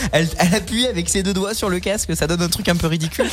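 A man's voice: high-pitched, fast, a bit bright. A man hosting a morning radio show, joking, laughing often and padding with fillers. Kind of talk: lively morning radio banter. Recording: music bed under voice.

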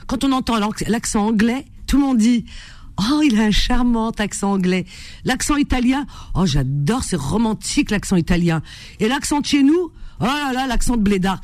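A woman hosting a call-in radio show, expressive and quick to sympathize, laughing one moment and earnest the next, into a studio microphone. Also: a little high, dry recording. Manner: animated and expressive, frequent sympathetic exclamations; laughs often, then turns earnest. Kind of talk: casual call-in radio chat.

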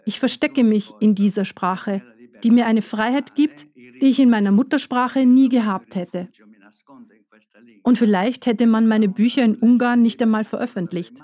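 A woman giving a measured, earnest first-person voice-over for a documentary. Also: on the high side, mellow. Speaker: voice-over speaker.

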